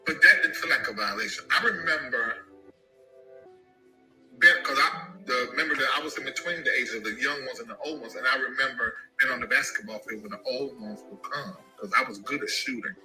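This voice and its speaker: A man telling an emotional personal story about his family, animated and given to sudden high shouts. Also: fairly quick, faint music behind, rough recording. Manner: animated, jumps into high-pitched shouts when quoting.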